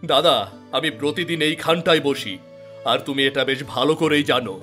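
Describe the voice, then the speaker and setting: A man's voice: a little high, normal speed, bright, forceful tone, faint music behind. A man voicing a downtrodden, earnest village cobbler in a fairy-tale cartoon, plaintive and resigned. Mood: aggrieved, dejected.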